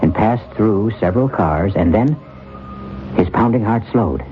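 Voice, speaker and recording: A man's voice: lowish voice, expressive, a little slow, narrow, tinny audio. An actor as the solemn male narrator of a suspense story, reading scripted old-time radio narration. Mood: solemn, suspenseful. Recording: phone-thin old radio recording, music behind.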